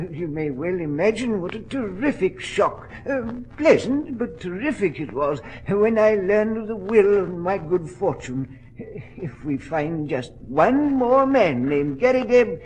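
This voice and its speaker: Radio drama role: fragile, fussy gentleman collector, eager yet hesitant, high-pitched and unhurried. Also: animated, low-quality recording. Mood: hesitant, earnest. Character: frail, eccentric gentleman collector, eager and reclusive. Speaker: supporting actor in a detective radio drama. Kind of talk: old-time radio drama acting.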